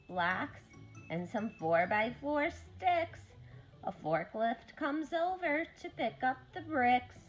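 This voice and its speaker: A woman reading a rhyming picture book aloud to young children in an animated story-time style, with deliberate phrasing. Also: high voice, poor audio.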